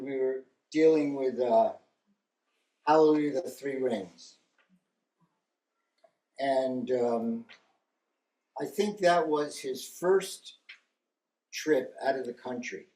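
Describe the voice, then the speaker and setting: Slow, wry older man in echoey room. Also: medium pitch, mellow. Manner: wry, halting, stops to gather thoughts. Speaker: speaker sharing memories at a memorial gathering. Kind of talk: slow rambling storytelling. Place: meeting room with a live audience.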